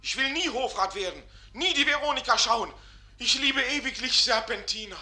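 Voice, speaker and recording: A man's voice: very high voice, expressive, moderate pace, pushed and bright, heavily acted. An actor playing an ardent young male student in a radio play, pleading fervently. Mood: defiant. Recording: echoey studio with music.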